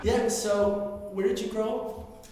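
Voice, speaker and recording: A woman's voice: fairly high pitch, steady tone, slow pace, warm tone, very echoey, long reverb tail. A woman hosting a podcast interview, asking questions in a friendly, encouraging way. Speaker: podcast host. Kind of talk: casual interview.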